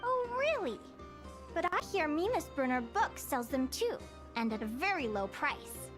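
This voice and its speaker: A woman playing a perky, clever young female adventurer who lectures as a guild advisor in an anime video game, with an animated, teacherly manner. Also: sits high, measured pace. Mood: teacherly, cheerful. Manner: bright teacherly presenting, animated. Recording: music behind. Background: game music.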